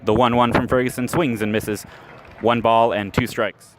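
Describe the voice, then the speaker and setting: A man calling a minor league baseball game as a radio play-by-play announcer, steady and measured, rising sharply on the big plays. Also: mid-range voice. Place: ballpark, outdoors.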